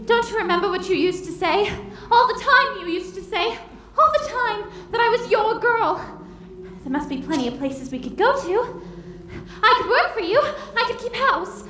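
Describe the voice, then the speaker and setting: A woman's voice: extremely high pitch, lively intonation, moderate pace, pushed and bright, a little hiss or hum, full character voice. An actress playing a frightened young bride who pleads tearfully with her father. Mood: pleading. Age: young adult. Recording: echoey vintage film soundtrack with score.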